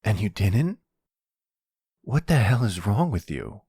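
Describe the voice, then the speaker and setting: Man acting protective, defensive son with lively pitch. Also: slow pace, slightly rough, dry recording, studio quality, full character voice. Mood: bitter, angry. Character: protective adult son, defensive, bitter, gruff but loving. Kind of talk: ASMR roleplay audio drama.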